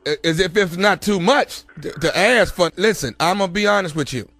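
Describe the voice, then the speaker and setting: Man playing a high-voiced, pompous church collections officer. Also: expressive, fairly quick, sharp tone, dry recording, heavily acted. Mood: pushy. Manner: stammering, insistent, preachy. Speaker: prank caller on a radio show. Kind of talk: deadpan prank phone call.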